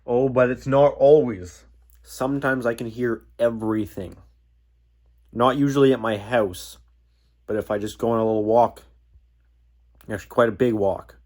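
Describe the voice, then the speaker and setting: A man's voice: medium pitch, normal speed, pauses often, a little mellow. A man talking in a casual, rambling, self-deprecating way as he puzzles things out in a hobbyist vlog. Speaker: hobbyist video host.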